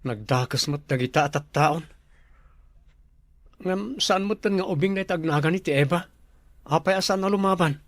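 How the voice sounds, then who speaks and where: Man acting obedient male subordinate, gravelly creak, deferential, radio drama, very dry sound. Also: on the high side, moderate pace, pauses often, dry recording, close to an everyday voice. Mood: indignant. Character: dutiful male subordinate, deferential to his lady boss.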